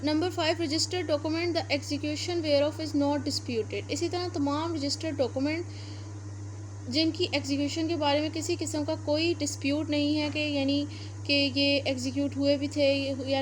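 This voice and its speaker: A woman's voice: sits high, a bit bright. A Pakistani woman giving an explanatory law lecture, restating each point in a didactic way. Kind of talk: explanatory lecture, reading clauses then explaining. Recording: faint background noise.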